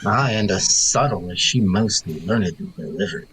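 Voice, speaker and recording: A man's voice: low-pitched, animated, moderate pace, over a noisy crowd, rough recording. A man playing a sneering, witty nobleman in a Shakespearean audio drama, with sardonic dry wit. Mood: sardonic mockery. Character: sneering, witty nobleman mocking his elders. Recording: faint noise, remote home recording.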